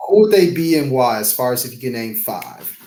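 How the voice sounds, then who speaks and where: Male podcast host, mid-pitch, upbeat and affirming, recorded in an echoey room. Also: big pitch swings, a little fast, a loud crowd. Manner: upbeat, affirming, repeats phrases. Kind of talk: casual interview.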